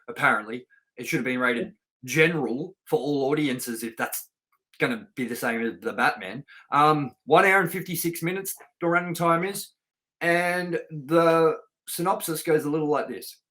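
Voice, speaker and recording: A man's voice: medium pitch, pushed and bright. A middle-aged man co-hosting a casual, sweary film review, speaking in an emphatic, opinionated way. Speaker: co-host of a film review show.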